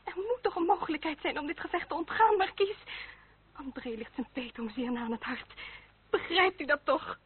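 An actress plays an earnest young lady of the French nobility in a radio drama. She speaks in a high voice, politely but directly, with a pleading candour. The recording is thin and phone-like, with clear noise. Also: normal speed, natural voice. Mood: earnest, urgent. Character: earnest, well-bred young French noblewoman, candid and pleading. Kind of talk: old radio play acting. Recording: tinny, noisy old radio recording.

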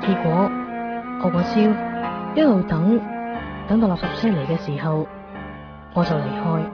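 A woman playing a wistful, heartbroken young woman who narrates a radio drama in measured, reflective phrases. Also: fairly low pitch, animated, lightly acted. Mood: sad, reflective. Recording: muffled 1990s radio recording, music behind.

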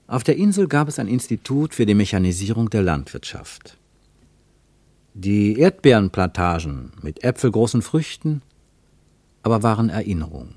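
Male narrator for literary radio play. Low register, measured, emphatic phrasing, very dry sound. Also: big pitch swings, a little mellow, no echo.

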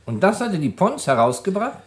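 A sceptical public prosecutor in a German radio thriller. His voice sits a little high and moves expressively up and down, while his questioning stays formal, composed and drily ironic. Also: very animated. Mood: probing. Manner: formal, measured questioning with dry irony. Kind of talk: German radio crime drama acting.

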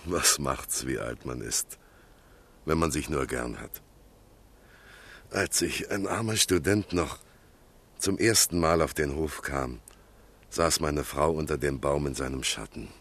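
A garrulous old village pastor in a radio drama. The voice is exceptionally deep and husky. He talks with homely fondness, like a man given to reminiscing. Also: measured pace, long pauses, fairly bright tone, exaggerated voice. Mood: fond, nostalgic. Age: older. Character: kindly, garrulous, ailing old country pastor, fond reminiscer. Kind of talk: German literary radio drama dialogue.

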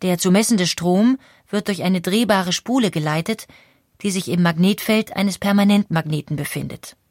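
A woman reading scripted documentary narration in an explanatory, measured way. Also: lowish voice, darkish tone, no echo, studio quality.

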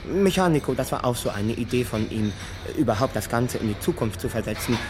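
An actor playing an exasperated radio playwright, recalling things in a rueful, conversational way in a radio drama. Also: male voice, medium pitch, animated, fairly quick, noisy background, natural voice.

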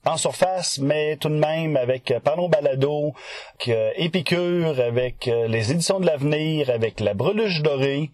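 Unhurried man, fairly high-voiced podcaster. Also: darkish tone, dry recording, clean studio sound. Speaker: podcast contributor presenting news segment. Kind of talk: casual podcast monologue.

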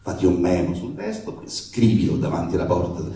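A man playing an anxious, protective, foul-mouthed father in a comic monologue, halting and colloquial but emphatic. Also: low voice, big pitch swings, dark tone, slight background noise. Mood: earnest, exasperated advice. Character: anxious, protective, foul-mouthed father addressing his daughter's young boyfriend. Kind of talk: theatrical reading of a comic monologue letter. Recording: echoey room, distant microphone.

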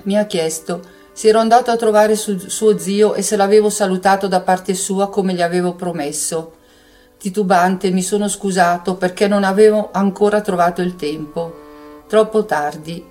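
Woman reading, soft music behind. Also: fairly low pitch. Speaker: reader. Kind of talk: literary reading of a war diary.

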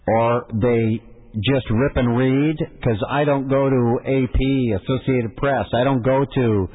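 A man's voice: medium pitch, steady tone, slow, slightly rough. A man hosting a call-in radio show, answering a caller firmly and repeating phrases for emphasis. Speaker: radio talk show host. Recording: muffled phone-band audio with long reverb.

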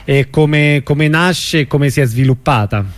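A man with a slightly high voice works as a radio host. He introduces and questions a guest in interview style. The sound is dry, with very little room around the voice. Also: a little slow, no room sound.

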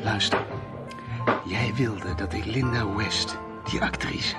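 An actor playing a gleeful, theatrically polite hired killer in a radio drama, with animated, drawn-out phrasing. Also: male voice, lowish voice, over loud music. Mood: eager, insistent.

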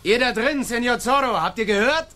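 Radio-drama young caballero: bold, insistent, bright high voice, declamatory delivery. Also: male voice, expressive, brisk, heavily acted. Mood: calling out. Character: bold, proud young gentleman, spokesman for rebels. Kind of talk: German radio drama acting.

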